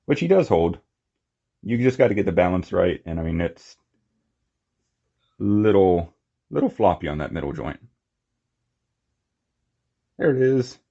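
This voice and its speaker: Deep, gravelly man, casual demo. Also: very animated, measured pace, pauses often, warm tone, highs cut off. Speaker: toy collector and reviewer. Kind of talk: casual unscripted hands-on product demonstration.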